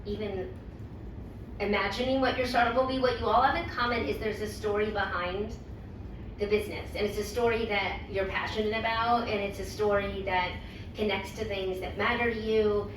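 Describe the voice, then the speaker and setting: A woman's voice: medium pitch, fairly even intonation, moderate pace. A woman hosting an event, giving encouraging remarks with emphatic repetition. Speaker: event host and organization leader. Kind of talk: motivational remarks. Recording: roomy, distant mic with noise.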